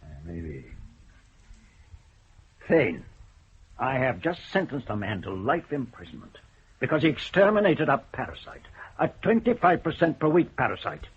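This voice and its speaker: A stern older judge with a dry, ironic turn of phrase. His voice is very husky and his pitch moves about a great deal as he weighs each word. It sounds like an old radio broadcast with narrow, muffled sound. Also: sing-song. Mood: measured. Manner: measured, wry, deliberate. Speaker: senior judge. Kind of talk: 1970s BBC radio drama acting.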